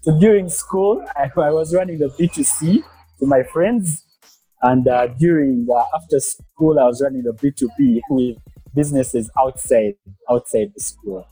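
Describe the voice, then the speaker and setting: Young ugandan guy on a podcast, soft hesitant talk, husky mellow voice, faint music. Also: mid-range voice, normal speed, no room sound, low-quality recording. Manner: hesitant, many fillers and restarts, soft-spoken. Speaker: guest entrepreneur. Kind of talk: podcast interview conversation.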